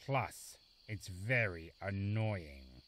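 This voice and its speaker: A man's voice: deep voice, lively intonation, extremely slow, fairly bright tone, a little hiss or hum, full character voice. A man voicing a grumpy, aloof and lonely forest beast in a cartoon, curt and brooding. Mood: brooding, suspicious. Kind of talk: animated fan drama acting. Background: background music.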